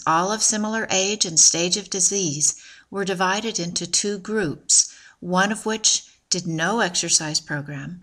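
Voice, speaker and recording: A woman narrating a scripted promotional video in an even, measured, informative way. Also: mid-range voice.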